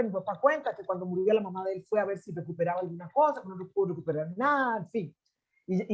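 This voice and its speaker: Middle-aged Costa Rican woman, interview guest; mellow, reflective storyteller, slightly muffled lo-fi audio. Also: medium pitch. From Costa Rica. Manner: reflective, frequent restarts and filler "este". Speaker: interview guest, anthropologist and poet. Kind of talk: conversational storytelling.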